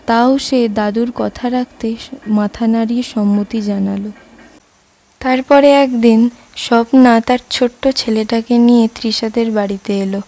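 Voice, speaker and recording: Mellow high-voiced woman storyteller. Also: poor audio. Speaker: story narrator. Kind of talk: scripted cartoon story narration.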